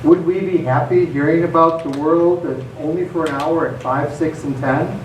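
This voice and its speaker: A man's voice: fairly high pitch, fairly even intonation, normal speed, natural voice. A man acting a reflective, wistful monologue in live radio theatre. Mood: pensive, wistful. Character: reflective, wistful man musing on a dark world. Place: theatre stage. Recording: echoey stage, distant mic, faint noise.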